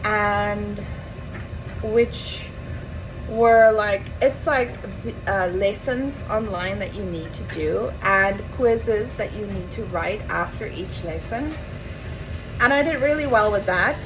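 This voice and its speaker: A woman's voice: mid-range voice, slow, music in the background. A young woman with an Afrikaans accent, from South Africa, telling a rambling, chatty story to camera with asides and self-corrections. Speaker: vlogger. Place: home with appliances running. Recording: noisy home recording.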